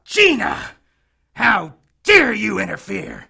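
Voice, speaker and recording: A man's voice: high-pitched, wildly swooping pitch, creaky, bright, forceful tone, breathy edge, heavily acted. A man playing a vengeful, arrogant witch villain in an audio drama, with a sinister, taunting delivery. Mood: enraged. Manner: theatrical, sinister, drawn-out taunting.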